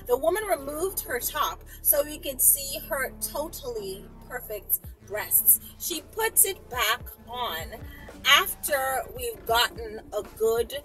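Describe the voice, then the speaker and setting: Female storyteller, bright and very animated delivery, teasing blunt asides between read passages, faint music behind. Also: high voice, takes it slowly, poor audio. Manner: breaks off into teasing, blunt commentary. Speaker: channel host reading a story aloud. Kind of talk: storytime reading with casual asides.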